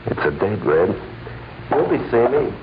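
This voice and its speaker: An actor playing a sly, flirtatious killer posing as a customer, with hesitant, coaxing small talk in a 1940s radio crime drama. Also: male voice, lowish voice, sing-song, measured pace. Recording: dull, noisy 1940s radio recording.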